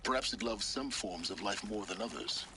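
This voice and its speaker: Man voicing cryptic game schemer, very deep voice, low and measured, noisy game audio. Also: natural voice. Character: cryptic, calm male mentor-schemer. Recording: game audio with background noise.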